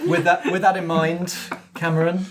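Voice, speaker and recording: A man's voice: high-pitched, level tone, normal speed, very noisy. A man hosting a tabletop game, talking casually as game master and prompting players.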